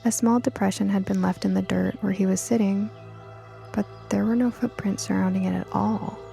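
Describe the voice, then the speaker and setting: A woman reading scripted first-person story narration for an audiobook in an expressive storytelling manner. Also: medium pitch, moderate pace, gravelly, faint music behind.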